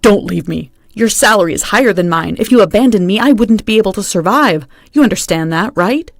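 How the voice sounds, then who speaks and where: A woman's voice: mid-range voice, lively intonation, brisk, gravelly, dry recording, clean studio sound. Spineless begging husband, voiced by a woman. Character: selfish, spineless husband begging his wife.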